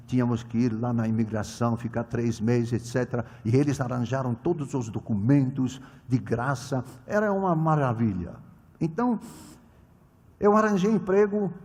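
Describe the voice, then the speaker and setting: Older pastor preaching in a reverberant hall. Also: male voice, mid-range voice. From immigrant to Brazil. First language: not Portuguese. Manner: dramatic, swings between loud proclamation and quiet storytelling. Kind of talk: sermon with personal story.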